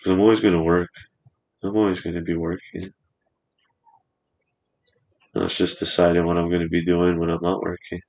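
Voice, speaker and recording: A man's voice: deep voice, flat delivery, moderate pace, long pauses, a little mellow. A man in his twenties chatting casually on a podcast in a studio, rambling and swearing freely. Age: 20s.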